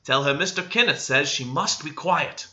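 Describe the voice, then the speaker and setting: A man's voice: a little high, moderate pace, bright, forceful tone, dull audio. A man voicing a stubborn, hot-tempered young husband in a storybook reading, impatient and insistent. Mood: insistent, agitated.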